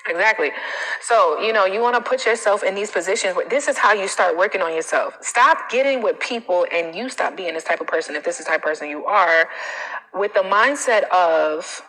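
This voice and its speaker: An American woman giving casual livestream coaching in a blunt, animated, colloquial way. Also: mid-range voice, brisk. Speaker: self-confidence coach. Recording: reverberant, echoing room sound.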